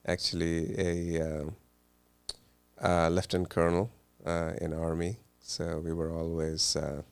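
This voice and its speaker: Interview guest: man from Pakistan, middle-aged, very deep gravelly voice, dry close sound, hesitant delivery. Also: monotone, measured pace, dry recording. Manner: hesitant, many ums and uhs. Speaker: cardiologist guest. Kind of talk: relaxed interview answers.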